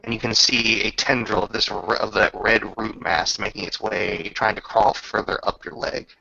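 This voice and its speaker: A man acting as game master, improvising descriptions and rulings in casual, halting talk with a creaky voice. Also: fairly low pitch, steady tone, sharp tone, muffled recording, slight background noise. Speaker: game master running a tabletop roleplaying game.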